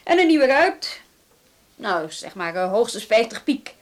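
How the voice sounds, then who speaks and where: A no-nonsense policewoman in a radio play, her voice fairly high. She is dry, skeptical and businesslike, hard to impress. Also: big pitch swings, a little slow. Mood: dry, unimpressed. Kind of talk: radio drama acting.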